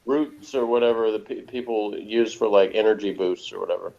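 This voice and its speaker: A man's voice: on the low side, a little fast, gravelly, a little mellow. A man in casual review chat, rambling and opinionated, as a podcast reviewer. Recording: dull, muffled lo-fi sound.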